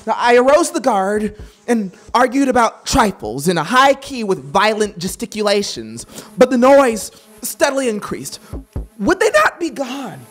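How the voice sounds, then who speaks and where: Guilt-crazed killer confessing, slow dramatic horror audiobook read, pitch swinging wildly, synth music behind. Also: big pitch swings. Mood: agitated, frantic. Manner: theatrical, drawn-out, swinging from hush to shriek. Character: guilt-mad murderer confessing, feverish, theatrical, unraveling into frenzy.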